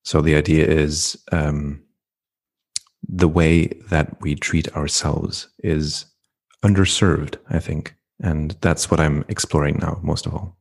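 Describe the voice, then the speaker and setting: A middle-aged man from Flanders, speaking as a podcast guest in a reflective, unhurried way with frequent ums. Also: very deep voice, flat delivery, gravelly. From Belgium (Flanders). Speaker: guest, entrepreneur and coach. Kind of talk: podcast interview conversation.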